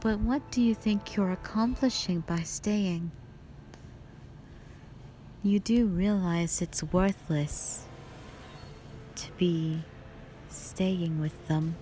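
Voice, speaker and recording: A woman playing a cruel, mockingly sweet spider-woman monster who taunts slowly and with menace in a fantasy audio roleplay. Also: fairly low pitch, dark tone, faint music behind. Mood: taunting menace. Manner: slow, drawn-out, condescending taunting.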